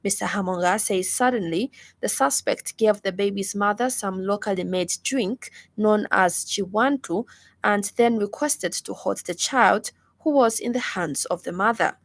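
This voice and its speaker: A woman with a Zambian accent reads a scripted radio news bulletin in a formal, measured manner. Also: medium pitch. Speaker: news reader.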